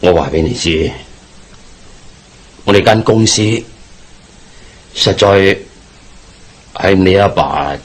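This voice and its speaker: Nervous, deep husky Cantonese uncle. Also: male voice, takes it slowly, long pauses. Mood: placating, nervous. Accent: Hong Kong Cantonese. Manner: nervous stammering, anxious repetition. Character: frightened, guilt-ridden businessman uncle, flustered and placating. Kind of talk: Cantonese radio drama acting.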